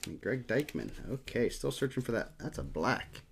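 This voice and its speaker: Man, forties, casual show host, animated intonation, faint background noise, clicks. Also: lowish voice, normal speed, creaky. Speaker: host of a card-opening show. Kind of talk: casual chat while showing cards. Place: small room at a desk, indoors.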